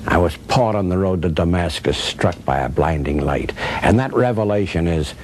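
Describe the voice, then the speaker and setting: Older man, low-voiced, wry storyteller. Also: lively intonation. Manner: wry, theatrical raconteur, deliberate phrasing. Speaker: former radio actor, interviewee. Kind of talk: anecdotal storytelling. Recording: clearly noisy recording with low rumble.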